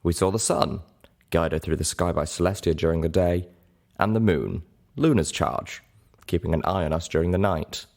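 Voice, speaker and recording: A man's voice: low voice, moderate pace, vocal fry, a little mellow. A man voicing a guarded, thoughtful grey female unicorn telling her tales in a storybook reading.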